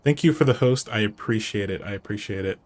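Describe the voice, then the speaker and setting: A man's voice: lowish voice, fast. A man with a nasal voice streaming live, chatting casually and rambling to himself and his chat while he sets up.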